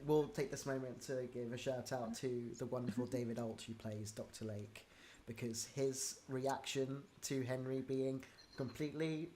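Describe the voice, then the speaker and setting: A man's voice: medium pitch, steady tone, normal speed, mellow, a little hiss or hum. A man who is part of a show's crew, chatting casually on a livestream.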